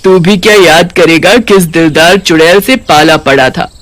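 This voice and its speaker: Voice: medium pitch, normal speed, a bit bright, natural voice. A performer voicing a hungry, blunt, boastful but secretly kind-hearted cartoon witch with brash, colloquial delivery. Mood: softening. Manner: brash, taunting, colloquial scolding. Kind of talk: Hindi cartoon character voice.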